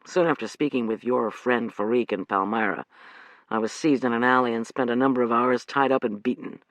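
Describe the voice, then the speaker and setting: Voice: medium pitch, steady tone, a little fast, a bit bright, no echo, close to an everyday voice, poor audio. An audiobook reader voicing a tough American man who speaks dryly. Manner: dry, wry.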